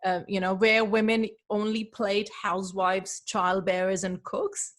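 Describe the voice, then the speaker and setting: A woman from Pakistan talks casually as a podcast guest, her voice sitting at an ordinary middle pitch. She laughs often and restarts her sentences as she goes. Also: level tone, unhurried. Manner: chatty, laughs often, many restarts and fillers. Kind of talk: casual interview chat.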